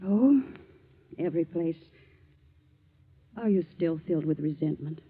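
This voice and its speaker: An actress playing a regretful middle-aged woman with a husky, hesitant voice, pleading and worn by a cold, in a 1940s radio drama. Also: low-pitched, animated, a little slow, exaggerated voice. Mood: weary, regretful. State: has a lingering cold. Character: shabby, regretful, timid middle-aged former wife. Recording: tinny vintage 1940s radio recording.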